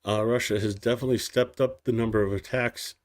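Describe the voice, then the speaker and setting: A man hosting a daily news stream, reading war reports aloud slowly and stumbling over hard foreign place names, with casual asides. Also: mid-range voice, fairly even intonation. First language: English. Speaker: news show host.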